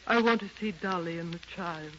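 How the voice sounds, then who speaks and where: A woman's voice: on the low side, fairly quick, fairly bright tone, close to an everyday voice. An actress playing a fond, fussy older society grandmother in a 1930s radio drama. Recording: muffled, noisy 1930s radio recording.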